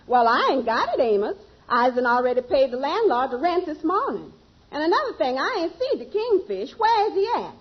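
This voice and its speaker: A woman's voice: sits high, sing-song, fairly quick. A woman playing a devoted, worried wife in a vintage radio sitcom, emphatic and folksy in her speech. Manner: emphatic, folksy nonstandard grammar. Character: devoted, worried, dramatic long-suffering wife. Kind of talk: 1940s-50s radio sitcom acting. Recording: muffled vintage radio recording.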